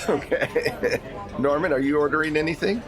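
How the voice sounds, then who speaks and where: A man's voice: fairly high pitch, normal speed, a crowd in the background. A man running a tabletop game as game master, moving between casual table talk and narration.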